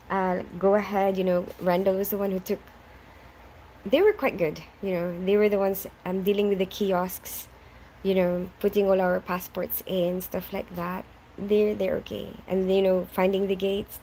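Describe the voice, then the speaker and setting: A woman's voice: mid-range voice, warm tone, slight background noise, rough recording. A young woman who grew up in the Philippines, chatting casually on a live stream, reading out viewers' names and answering them, often laughing.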